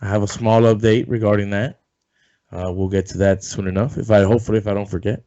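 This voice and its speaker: Low-voiced man hosting a casual podcast. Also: fairly even intonation, fast talker, dark tone. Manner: rambling, loose, swears casually. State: losing his voice, feeling sick. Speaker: podcast host. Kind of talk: casual sports podcast chat. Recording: dull lo-fi sound.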